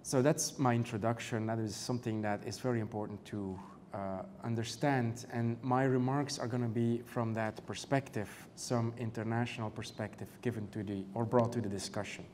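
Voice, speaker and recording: Male European-accented academic panelist, mid pitch, measured and full of "uh" fillers, faint background noise. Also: steady tone, a little mellow. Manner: measured, many "uh" and "um" fillers, rhetorical questions. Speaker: panelist, canon law professor. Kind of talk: conference panel talk.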